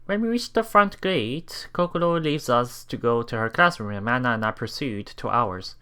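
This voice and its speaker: A man reading a visual novel aloud as a let's play streamer, haltingly, with repeats and self-corrections. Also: medium pitch, expressive, smooth. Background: soft game music.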